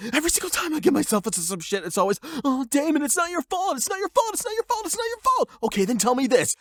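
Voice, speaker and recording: Anime audio drama: male actor as a bitter, confrontational young punk, emotionally volatile, gravelly, highly animated delivery. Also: no room sound, clean studio sound, exaggerated voice. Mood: anguished, shouting. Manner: sweary, sarcastic, confrontational. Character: angry, hurt young male delinquent, defensive and defiant. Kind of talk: emotional anime-style audio roleplay acting.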